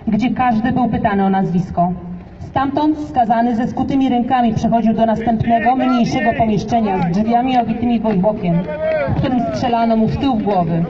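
A narrator giving formal, steady commemorative narration at an outdoor memorial ceremony. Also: mid-range voice. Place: outdoor street march in a city. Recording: distant PA in open air, reverberant, dull, noisy.

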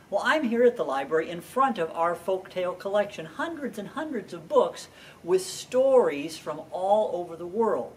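An older American man in his sixties speaks to young children as an educational presenter. His delivery is lively, with big swings in pitch and deliberate emphasis. He is picked up by a distant microphone with a little room noise. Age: 60s. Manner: animated, deliberate teaching with repeated emphasized phrases. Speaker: children's library storyteller and presenter. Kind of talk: children's educational introduction, addressing young viewers. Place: indoors in a library.

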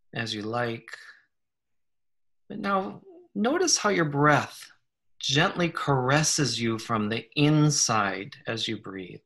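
A man leading a guided meditation in a gentle, unhurried manner, leaving long pauses between short phrases. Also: medium pitch. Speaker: guided meditation leader, psychologist. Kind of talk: slow guided meditation, soothing instruction.

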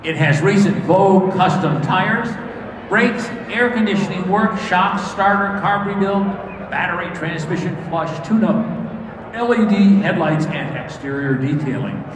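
A man's voice: high voice, a little slow, noisy background. A man working as an auction announcer, reading out a list of specifications to the room. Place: large indoor auction hall, over PA. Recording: echoey auction hall with crowd.

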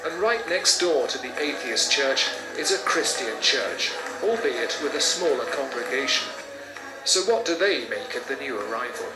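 Lively male news reporter, echoey playback. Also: mid-range voice, normal speed. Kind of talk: scripted news narration. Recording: echoey tablet playback, music behind. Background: music.

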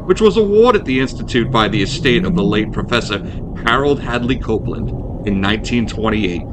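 A man narrates an old-fashioned horror tale in a measured, formal read-aloud style. His voice sits at a medium pitch. There is clear ambient noise behind him throughout. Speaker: host and narrator. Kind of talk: scripted narration of a horror short story. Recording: clearly noisy background.

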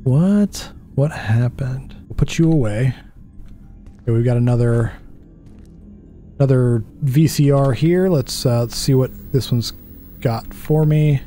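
A man's voice: medium pitch, a little slow, pauses often, mellow, faint music behind. A man in his thirties on a headset, casually narrating a puzzle game while muttering to himself, puzzled and self-deprecating. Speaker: gaming streamer. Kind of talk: casual let's-play commentary.

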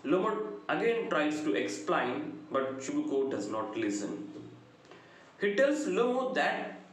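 Very echoey South Indian man lecturing slowly. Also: on the high side, sing-song. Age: middle-aged. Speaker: English lecturer. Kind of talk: lecture retelling a play. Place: small bright indoor room. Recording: very echoey room, faint background noise.

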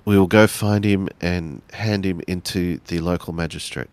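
A man playing a sober, law-minded samurai in a roleplay game, measured and formal. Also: sits low, fairly even intonation, darkish tone, clear, resonant tone, natural voice. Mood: measured, cautioning.

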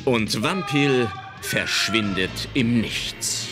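A man's voice: mid-range voice, extremely slow. A man narrating a children's adventure radio play as a dramatic storyteller. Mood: dramatic narration. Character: dramatic male storyteller of a magical-girl adventure. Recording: loud music under the voice.

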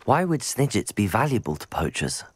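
A young man playing a resolute, measured student wizard hero in a video game, his voice slightly husky. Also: on the low side, very animated. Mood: curious. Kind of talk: video game cutscene acting. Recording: music underneath.

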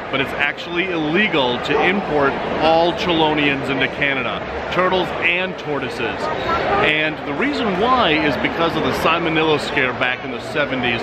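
Brief: eager male vlog host, somewhat high voice, loud hall noise behind. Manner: excited, exclamatory, talks straight to camera. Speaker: reptile channel host. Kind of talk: enthusiastic vlog presentation. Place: busy indoor expo hall.

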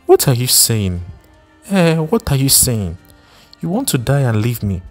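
A man doing a shrill, wailing pregnant wife. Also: animated, measured pace, a little mellow, faint music behind, lightly acted. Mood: accusing. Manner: wailing, scolding, rising to cries.

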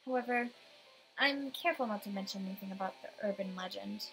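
An adult woman reading expressive first-person narration from a visual novel script. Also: mid-range voice, fast, vocal fry, faint music behind, poor audio. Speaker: player voicing a visual novel.

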